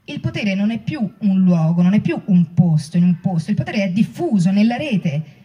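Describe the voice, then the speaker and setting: An actress playing a reflective former political activist in a dramatic reading of oral history. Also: female voice, medium pitch, big pitch swings, normal speed, lo-fi sound, close to an everyday voice. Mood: reflective, recollecting. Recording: distant microphone, music underneath.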